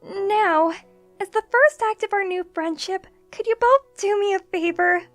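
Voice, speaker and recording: A woman's voice: very high voice, measured pace, faint music behind. A woman voicing an exasperated, battered female unicorn in a cartoon-style fan audio drama, weary but earnest. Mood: earnest, conciliatory.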